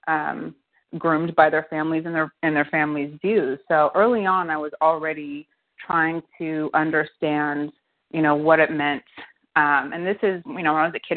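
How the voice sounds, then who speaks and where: Woman in her 50s, low voice, thinking out loud w lots of restarts, phone-line sound. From United States. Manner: thoughtful, many restarts and fillers, soft laughs. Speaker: guest, winery co-founder. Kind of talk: casual interview conversation.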